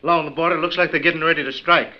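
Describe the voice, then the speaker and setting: A man's voice: on the high side, fairly even intonation, rapid-fire, lightly acted. An actor playing a dutiful young ranger urgently reporting to his captain in a 1950s radio western. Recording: dull vintage radio recording.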